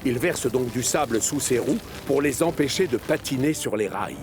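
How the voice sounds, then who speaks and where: A man narrating a scripted children's story as an expressive storyteller. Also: medium pitch, normal speed. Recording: music behind narration. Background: music.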